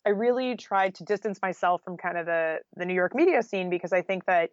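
A woman's voice: on the low side, expressive, brisk, no room sound, highs cut off. An American woman talking casually and candidly as an interview guest, with an informal style full of "like" and "you know". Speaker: guest, freelance journalist.

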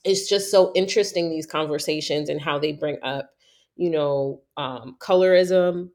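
A woman from New York hosting a casual conversation, reflective and thoughtful, with many fillers and lively storytelling. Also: low voice, normal speed.

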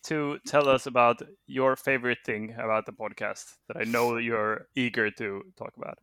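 A man's voice: mid-range voice, normal speed, smooth, no room sound. A man co-hosting a podcast in casual chat, lightly teasing the others. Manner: teasing, lively. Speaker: podcast co-host.

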